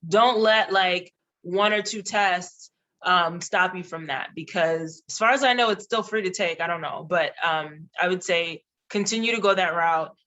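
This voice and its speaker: A man's voice: sits high, unhurried, bright, forceful tone, highs cut off. A man whose first language is Haitian Creole, a diplomat giving earnest, chatty advice on a panel, with frequent restarts. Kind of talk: casual advice on a webinar panel.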